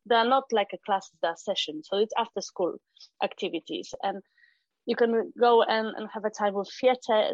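A woman's voice: medium pitch, no room sound, dull audio. A middle-aged woman from Poland with a Polish accent, a guest talking casually in an interview, often restarting and filling with "um". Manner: hesitant, many restarts and fillers. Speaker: guest, founder of an arts venue for kids.

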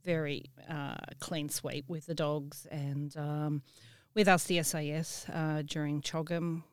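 A woman telling work stories casually as an interview guest, in an easygoing, halting way. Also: low voice, little pitch movement, clear, resonant tone, dry recording. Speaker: interview guest, event venue professional. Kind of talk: casual conversational anecdote telling.

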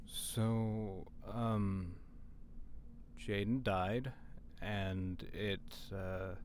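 Man acting a somber, slow, low-voiced man. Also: level tone, a little mellow, slight background noise. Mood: somber, hesitant. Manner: halting, deliberate. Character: somber man haltingly recounting deaths. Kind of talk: audio drama monologue.

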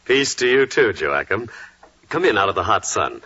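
An actor playing a hospitable, plain-spoken carpenter in a biblical 1940s radio drama, with a hearty, earnest manner. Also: male voice, lowish voice, sing-song, normal speed, bright, forceful tone, slightly rough, heavily acted. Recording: muffled 1940s radio recording.

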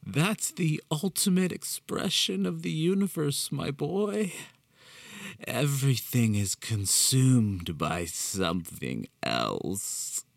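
A man voicing an unhinged, sinister man who speaks in a slow, drawn-out, mocking way. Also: mid-range voice, expressive, creaky, no room sound, voice pushed up, full character voice. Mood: zealous. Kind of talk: horror story narration.

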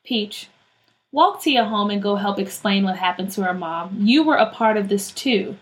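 A woman's voice: medium pitch, expressive, moderate pace, natural voice. A woman voicing a bossy, alarmed older sister who scolds and takes charge in a children's story. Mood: explaining, firm. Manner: scolding, urgent, exclaiming.

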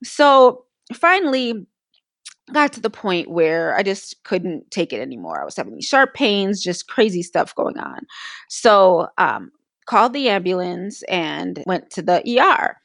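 A woman's voice: fairly low pitch, very animated, measured pace, gravelly, a bit bright, dry recording, studio quality. A woman co-hosting a casual podcast, telling her story while she is losing her voice. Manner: candid, storytelling about her illness. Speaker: podcast co-host.

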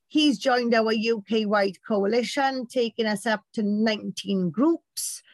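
Loud chatty British woman. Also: on the high side, slow, dry recording. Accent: British English. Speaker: campaign group organiser. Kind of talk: casual chat.

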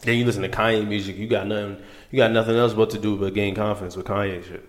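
A man's voice: on the low side, flat delivery, fast. A man chatting casually with friends in an easygoing, slangy way, often asking "feel me". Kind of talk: casual group chat.

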